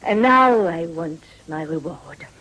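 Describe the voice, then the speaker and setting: An actress playing a worldly, teasing madam in a British period radio drama, coaxing, knowing and amused. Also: female voice, fairly low pitch, expressive, slow, a bit bright, full character voice.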